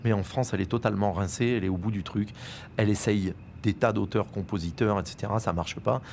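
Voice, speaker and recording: A man interviewed as a music biographer, telling the story in a lively, colloquial way. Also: lowish voice, quick pace, creaky, slight background noise. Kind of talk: casual interview talk.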